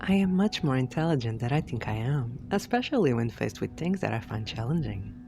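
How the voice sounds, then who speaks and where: A woman reads scripted affirmations for sleep hypnosis in a measured, deliberate way, phrase by phrase. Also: very deep voice, sing-song, vocal fry, warm tone. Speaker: affirmations narrator. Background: background music.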